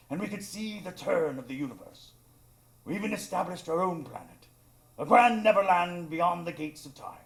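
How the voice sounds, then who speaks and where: An actor playing a loyal doctor who narrates his adventures in a live radio drama, with earnest, measured storytelling. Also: high voice, creaky.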